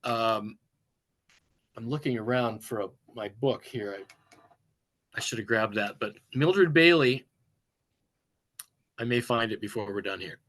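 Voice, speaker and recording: A man, a music teacher, presenting a webinar in a halting, unscripted way, pausing for words and restarting phrases over a video call. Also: medium pitch, fairly bright tone. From United States. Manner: halting, many fillers and restarts, searching for words.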